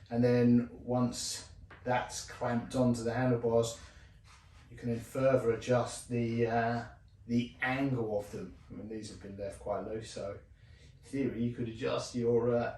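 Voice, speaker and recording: A man's voice: medium pitch, a little mellow. A man presenting a casual hands-on bike review, thinking aloud in an informal way. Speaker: bike restorer presenting his video. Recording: roomy echo, voice drifting from mic.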